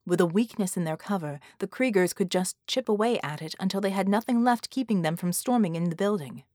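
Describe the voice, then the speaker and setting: A woman's voice: medium pitch, a little fast, darkish tone, dry recording, studio quality. A woman reading an action story as an audiobook narrator, in a dramatic scripted style.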